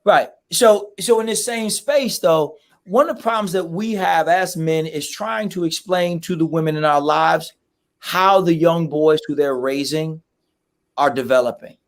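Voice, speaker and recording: A hoarse man hosting a casual discussion, asking probing questions and drawing the others out. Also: fairly high pitch, measured pace.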